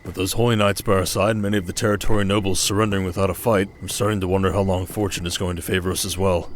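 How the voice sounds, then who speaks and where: A man playing a steady, protective desert king in an ASMR audio drama, speaking in a measured, intimate way. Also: low voice, a little hiss or hum, natural voice. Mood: concerned, tender.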